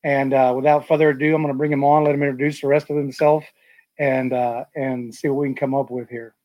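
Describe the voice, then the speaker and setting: A man hosts a relaxed interview, rambling through his thoughts with plenty of ums and restarts. His mid-pitched voice sits close and dry, with no room sound around it. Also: fast talker, no echo, muffled recording. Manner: rambling, many fillers and restarts. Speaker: podcast host. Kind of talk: casual interview chat.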